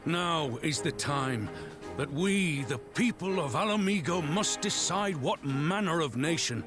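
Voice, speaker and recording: A commanding resistance leader addresses his people in a fairly high male voice that rises and falls widely. The delivery is stately and impassioned, like a game cutscene speech, with music clearly behind it. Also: lively intonation, measured pace. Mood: solemn, resolute. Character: solemn, commanding male resistance leader rallying an angry crowd. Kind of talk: dramatic oratory in video game cutscene.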